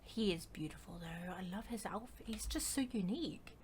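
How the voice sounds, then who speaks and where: A woman's voice: mid-range voice, expressive, moderate pace, a little mellow, a little hiss or hum. A woman giving casual gameplay commentary as a streamer, playful, reactive and rambling. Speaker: game streamer.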